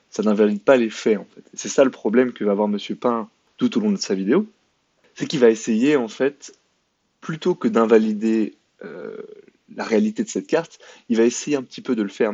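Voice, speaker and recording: A man's voice: on the low side, a little mellow, slightly rough, dry recording. A French-born man talking through a sarcastic commentary monologue, full of fillers and restarts. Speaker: video commentator. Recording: dry, slightly dull lo-fi sound.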